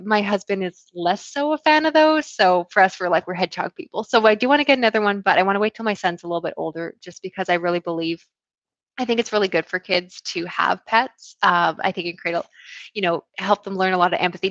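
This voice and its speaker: A relaxed woman in her thirties from Canada chats through a livestream Q&A, full of fillers and small laughs. Her voice sits at an ordinary middle pitch. The sound is dry and a little dull, with the highs rolled off. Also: no echo. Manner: chatty, relaxed, many fillers and soft laughs. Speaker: booktube host. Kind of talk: casual livestream Q&A.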